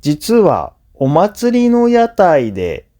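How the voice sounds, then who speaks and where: Very slow, deliberate male narrator. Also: high-pitched, huge pitch swings, warm tone. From Japan. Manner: slow, deliberate phrasing in short segments for learners. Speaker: language teacher and narrator. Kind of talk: scripted listening-practice narration.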